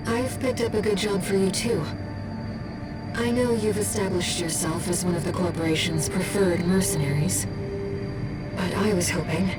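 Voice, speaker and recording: A polite, earnest female companion to a mercenary, played by an actress in a video game. Her voice is on the low side and gently guiding. Loud music plays behind it. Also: steady tone, normal speed, close to an everyday voice, rough recording. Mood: hopeful.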